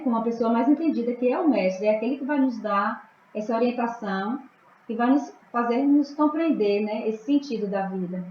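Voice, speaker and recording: Woman moderating a study call. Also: fairly high pitch. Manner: calm, asks questions, many agreeing fillers. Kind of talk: moderating a group discussion. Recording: echoey muffled call with faint noise.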